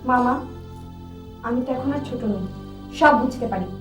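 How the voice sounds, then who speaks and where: Actress as a high-pitched, cheeky young woman. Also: normal speed, natural voice. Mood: insistent. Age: young adult. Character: outspoken, cheeky young Bangladeshi woman, stubborn with elders. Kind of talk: TV drama acting. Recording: roomy echo, faint music behind.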